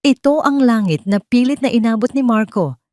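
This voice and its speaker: A woman's voice: fairly high pitch, animated, normal speed, warm tone, dry recording, clean studio sound. A woman reading a scripted first-person story as a dramatic narrator.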